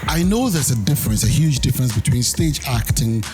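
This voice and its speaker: Male interviewer, mid pitch, very lively intonation, loud music underneath. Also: fairly quick. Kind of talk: documentary interview questions.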